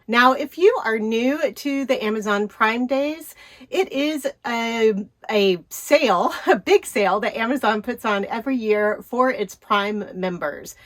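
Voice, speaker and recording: A middle-aged woman with a stuffy, hoarse voice from a cold, chatting upbeat through a shopping wish-list vlog. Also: fairly high pitch, fairly bright tone. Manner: chatty, upbeat, with little laughs. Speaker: travel vlogger.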